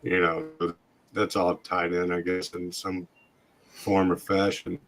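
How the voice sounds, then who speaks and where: A man hosting a podcast in casual chat, his voice hoarse and scratchy from a long night of talking. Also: low voice, level tone, takes it slowly. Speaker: podcast host.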